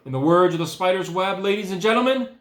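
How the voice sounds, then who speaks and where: A man voicing a pompous fairground loudspeaker announcer from a storybook, grand and ceremonial in delivery. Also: sits high, expressive, quick pace, voice pushed up. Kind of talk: storybook character voice in a read-aloud. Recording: echoey room.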